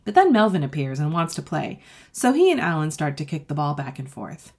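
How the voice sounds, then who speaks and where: A woman's voice: low voice, very animated, brisk, darkish tone, slightly rough. A woman author reading a children's story aloud expressively and speaking directly to young listeners. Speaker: author reading their own book.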